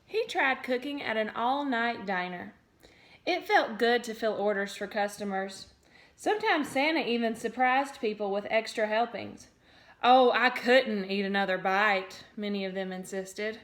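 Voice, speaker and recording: A woman teacher reading a picture book aloud to children, expressive and playful, breaking off for little asides to her listeners. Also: on the high side. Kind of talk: storybook read-aloud for children.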